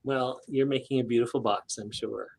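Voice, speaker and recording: Woman hosting, halting delivery. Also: extremely low pitch, fairly even intonation, vocal fry, a little mellow, slightly rough. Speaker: talk show host interviewer. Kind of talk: unhurried conversational interviewing.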